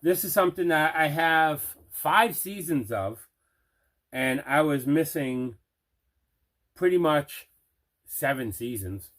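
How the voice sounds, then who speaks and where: A man's voice: a little high, lively intonation, slow, pauses often, fairly bright tone. A man hosting a casual unboxing chat, talking with plain, repetitive enthusiasm about items in a collection. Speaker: host of a movie collection unboxing show.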